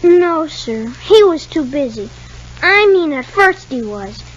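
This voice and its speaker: High-voiced, shy little boy, old radio. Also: big pitch swings, takes it slowly, exaggerated voice. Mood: guarded, innocent. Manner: reticent, short hesitant answers. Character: shy, polite, downcast young boy. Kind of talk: 1950s radio soap acting. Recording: dull 1950s radio recording.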